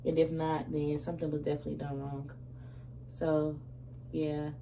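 A woman's voice: deep voice, level tone, normal speed, warm tone. A woman in her twenties with an American accent, chatting casually and slangily while getting ready in a small room. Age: 20s. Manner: slangy, conversational. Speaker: vlogger. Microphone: camera a short distance away. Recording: roomy distant camera mic, faint noise.

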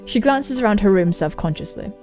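A young woman hosting a let's play, reading a visual novel aloud and commenting on it with excitable, sweary exclamations. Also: mid-range voice, a little fast. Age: young adult. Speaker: gaming video host. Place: indoor room at home, on webcam. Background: game soundtrack music.

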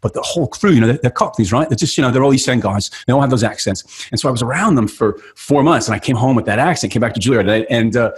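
A man in his fifties from New York, a guest actor telling stories in a casual podcast chat over a video call, lively and full of restarts. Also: mid-range voice, fast, few pauses, husky. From New York City. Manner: animated storyteller, frequent restarts and gestures. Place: home room. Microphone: studio mic with headphones, video call.

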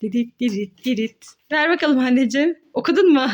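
A young woman playfully hosting a children's game as a mother, encouraging and coaxing in an animated, sing-song way. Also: high voice, brisk, muffled recording.

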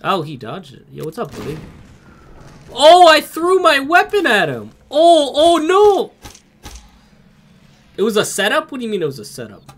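A young man with a cold, streaming a game from home on a headset and greeting his chat by name with excited outbursts. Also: extremely high pitch, moderate pace, pauses often. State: has a cold.

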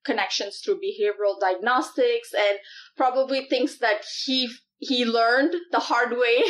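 A woman's voice: a little high, fairly bright tone. A woman in her thirties from Malaysia, a startup CEO talking through ideas in an interview, with many fillers and easy laughs. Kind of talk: in-person interview.

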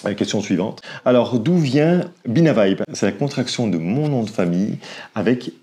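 A man's voice: medium pitch, lively intonation, moderate pace, warm tone. A man in his forties from Belgium with a Belgian French accent, co-hosting a casual Q&A vlog and chatting with frequent hesitations, recorded on a lapel mic on a porch. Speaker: vlog co-host. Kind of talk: casual Q&A chat. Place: covered porch beside a house. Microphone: clip-on lapel mic.

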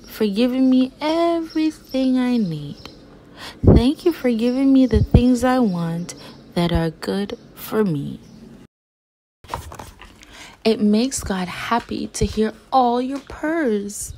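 A woman reading a children's picture book aloud as a storyteller, with gentle, deliberate, emphatic phrasing. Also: mid-range voice, slight background noise.

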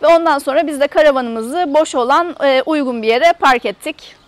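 A woman's voice: high-pitched, moderate pace, no room sound. A woman in her thirties giving a chatty, casual guided tour to camera outdoors, explaining things as a travel vlogger. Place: outdoors in an open camper park lot.

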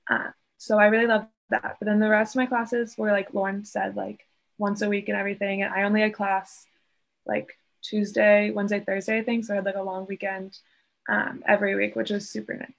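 Bubbly young woman, flat intonation. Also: medium pitch, a little fast, vocal fry, rough recording. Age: 20s. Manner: bubbly, with frequent likes. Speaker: student panelist. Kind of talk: casual panel talk. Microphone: video call.

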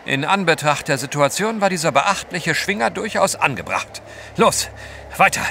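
An actor playing a gallant gentleman adventurer in an audio drama, well-spoken and urgent. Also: on the high side, sing-song, moderate pace, gravelly, a little hiss or hum, exaggerated voice. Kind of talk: German audio drama acting.

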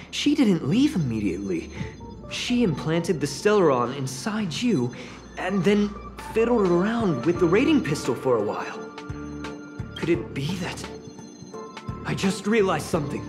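A woman voicing an earnest, excitable young investigator in a sci-fi video game, expressively thinking aloud. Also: on the low side, normal speed. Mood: pondering. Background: background music.

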